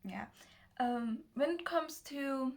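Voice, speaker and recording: A nineteen-year-old woman from Germany with a German accent, talking casually to the camera in a nervous, hesitant, halting way as a non-native speaker. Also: sits high, a little mellow. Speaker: self-development video creator. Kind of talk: casual personal talk to camera.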